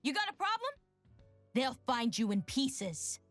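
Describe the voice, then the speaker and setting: Game casting: angry teenage girl warrior, highly animated delivery, blunt and threatening, close dry sound. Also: unhurried, no echo, soft background music, exaggerated voice. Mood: taunting, furious. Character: angry, defiant, battle-hardened sixteen-year-old girl warrior. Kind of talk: video game character voice lines.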